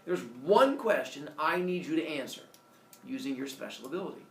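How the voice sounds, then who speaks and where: A man voicing a bossy, short-tempered king of the gods in a storytelling lesson, loud and demanding. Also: a little high, lively intonation, normal speed, vocal fry. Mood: scheming, threatening. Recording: roomy, distant mic, faint noise.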